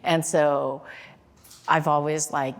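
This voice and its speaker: A woman from Laos answers a panel question, pausing often with fillers. Her voice is low. It rings out with the long reverb of a hall. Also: little pitch movement. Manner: hesitant, many "you know" fillers. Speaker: designer panelist.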